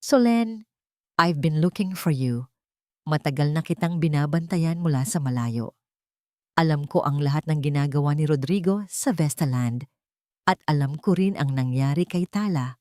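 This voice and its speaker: A woman's voice: sits low, normal speed, mellow, no room sound, studio quality, lightly acted. A woman voicing a devoted middle-aged male lawyer in an audio drama, solemn and reassuring, mixing English with Tagalog. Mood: earnest, serious.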